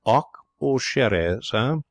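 A man's voice: mid-range voice, expressive, no echo, voice pushed up. Unctuous male butler acted by a man, formal, poker-faced schemer for a storybook, dry sound. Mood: poker-faced deference. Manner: unctuous, drawn-out, formally polite.

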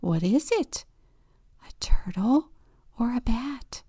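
A woman voicing a curious female octopus who whispers excitedly. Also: fairly high pitch, takes it slowly, breathy edge, no room sound, studio quality, pitched above the performer's own voice, exaggerated voice. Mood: hushed.

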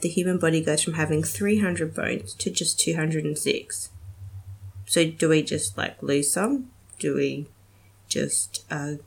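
Low, gravelly-voiced young woman podcaster. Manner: chatty, giggly, many ums. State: slightly nasal from a cold. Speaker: podcast host. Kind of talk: casual solo podcast chat.

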